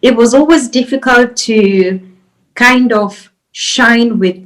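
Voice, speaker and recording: A woman's voice: a little high, slow, fairly bright tone, poor audio. A woman hosting a podcast interview in a conversational way, reflectively rephrasing and summing up as she goes.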